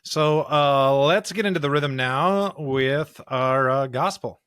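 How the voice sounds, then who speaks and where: A man's voice: fairly high pitch, slow pace. An American man co-hosting a church podcast, chatting casually and reading scripture. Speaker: podcast co-host and pastor.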